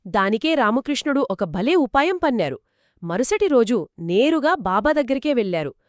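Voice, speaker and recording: A woman narrating a folk tale in a lively, expressive storytelling manner, trading lines with a co-host. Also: on the high side, normal speed, no room sound, clean studio sound. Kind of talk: scripted two-voice story narration.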